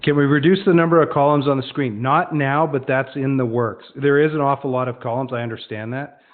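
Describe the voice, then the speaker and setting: A man's voice: medium pitch, steady tone, a little fast. Lo-fi, muffled webinar audio carries a man with a mid-range voice. He presents informally, explaining things in a conversational way and often correcting himself as he goes. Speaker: presenter of an online product demonstration. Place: online web conference. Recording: dull, lo-fi online webinar audio.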